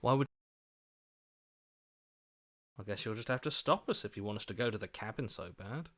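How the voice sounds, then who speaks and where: A man's voice: lowish voice, quick pace, a bit bright, close to an everyday voice. A man voicing an earnest, questioning heroic inner voice in a video game, reasoning things out as he talks. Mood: defiant.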